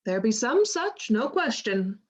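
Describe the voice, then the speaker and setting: A woman's voice: on the high side, sing-song, measured pace, muffled recording. A woman playing a worldly, outspoken lady's maid in a Shakespearean radio play, with a wry, frank manner. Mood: wry, teasing.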